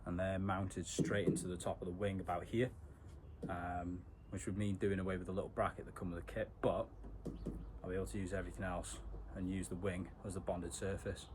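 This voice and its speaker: Male DIY mechanic vlogger, low and monotone, informal step-by-step delivery, noticeable background noise. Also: fairly quick. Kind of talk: casual hands-on tutorial narration.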